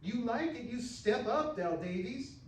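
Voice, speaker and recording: A man's voice: very high voice, expressive, unhurried, pitched above the performer's own voice. A man voicing a brash, fiery cowgirl with a sassy, challenging drawl for a story reading, in a roomy recording. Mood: angry challenge. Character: brash, fiery young cowgirl, teasing and combative. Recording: roomy, distant mic with faint noise.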